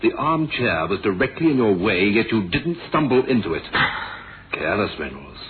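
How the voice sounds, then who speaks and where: An actor playing a shrewd, firm police inspector with a measured, authoritative manner in a radio drama. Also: male voice, lowish voice, sing-song, noisy background. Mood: calm, stern. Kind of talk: 1970s radio drama acting. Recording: tinny, crowded old radio broadcast.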